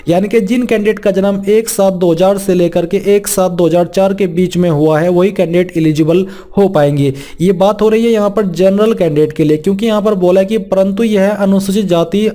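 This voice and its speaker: A man's voice: sits high, warm tone. A man presenting an informal instructional walkthrough, reading formal text and restating it plainly. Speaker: presenter of a job vacancy explainer.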